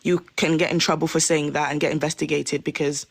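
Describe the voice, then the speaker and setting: A woman's voice: low-pitched, flat delivery, fairly quick, slightly rough, no room sound. A woman in her twenties from the UK, a guest on a live panel chat, talking hesitantly and apologetically with many fillers. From United Kingdom. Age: 20s.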